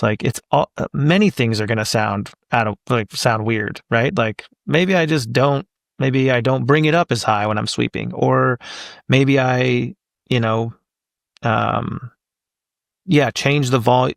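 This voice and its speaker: Rambling man with a gravelly voice. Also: mid-range voice, dry recording, studio quality. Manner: rambling, thinks aloud with many fillers. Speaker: voice actor guest. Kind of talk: casual podcast conversation.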